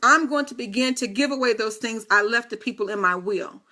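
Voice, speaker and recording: A woman's voice: a little high, fairly quick, pushed and bright. A woman in her fifties hosting a casual live video talk, speaking straight to viewers with playful, teasing asides. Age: 50s. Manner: playful, teasing asides and chatty direct address.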